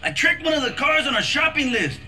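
A man playing an excitable, high-strung, slangy car thief in a video game, with a melodramatic manner. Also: a little fast, faint music behind, heavily acted. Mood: exasperated. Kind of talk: video game cutscene acting.

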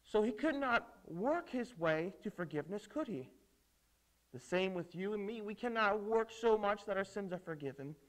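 A man preaching to children in a dramatic storytelling style, emphatic, with theatrical pauses and rhetorical questions. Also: sits high, lively intonation, rough recording. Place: indoors at a lectern on a stage set.

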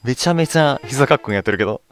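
A man narrates his own gameplay in a casual, self-questioning way. His mid-pitched voice moves up and down freely, with game music playing behind him. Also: lively intonation, racing. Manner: murmurs to himself in questions while playing. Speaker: let's play host. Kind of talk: casual gameplay commentary, reading out dialogue. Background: video game music.

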